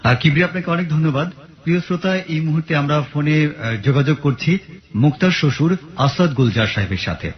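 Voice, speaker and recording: A man's voice: a little high, a little fast, lo-fi sound. A man with a Bangladeshi accent, a polite and formal radio reporter conducting a phone interview.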